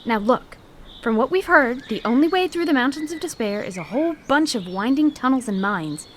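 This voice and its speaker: A woman's voice: high voice, expressive, brisk, slight background noise. A woman playing a practical, level-headed young traveler who speaks matter-of-factly while planning the route.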